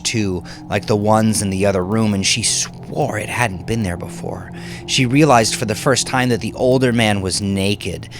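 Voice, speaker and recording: Man, low creaky voice, slow, hushed intense horror storytelling, music underneath. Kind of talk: dramatic horror fiction narration.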